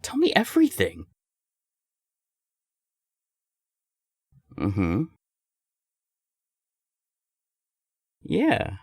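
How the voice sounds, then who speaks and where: A man's voice: medium pitch, a little mellow, husky, dry recording, clean studio sound, heavily acted. A young man acting a candid serial-dater friend who is secretly smitten, conversational and emphatic, in an intimate audio roleplay. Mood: surprised, curious. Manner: conversational, hesitant, emphatic swearing.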